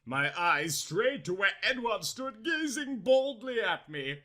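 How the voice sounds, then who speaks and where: Animated high-voiced male story narrator. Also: takes it slowly. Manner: melodramatic, exaggerated emphasis. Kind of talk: theatrical comic reading of parody fan fiction.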